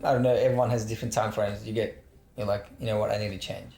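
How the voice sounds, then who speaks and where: A man's voice: on the low side, fast talker, gravelly, a crowd in the background. Chatty man in his thirties, roomy sound. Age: 30s. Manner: animated, acts out his own inner thoughts. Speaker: actor guest. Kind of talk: casual group chat. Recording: roomy echo.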